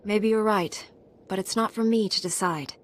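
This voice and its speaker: An actress playing a composed, frank young Jedi apprentice in a video game. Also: female voice, mid-range voice, moderate pace, slight background noise, close to an everyday voice. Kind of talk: scripted video game acting.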